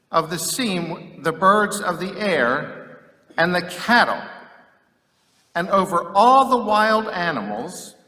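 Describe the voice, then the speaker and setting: A man reading scripture solemnly and dramatically, with deliberate, declamatory phrasing and long pauses. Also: fairly high pitch, pushed and bright. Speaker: scripture reader. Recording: echoey hall with long reverb.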